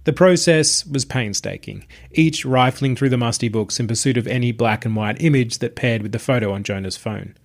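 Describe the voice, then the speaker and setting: A man reading a scripted short story as narrator, in a measured, descriptive storytelling manner. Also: medium pitch.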